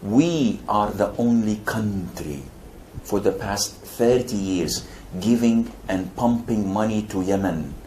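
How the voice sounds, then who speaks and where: Older Saudi man preaching, low voice. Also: slow, warm tone, a little hiss or hum. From Saudi Arabia. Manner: wry jokes, emphatic rises in pitch. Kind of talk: lecture Q&A answer.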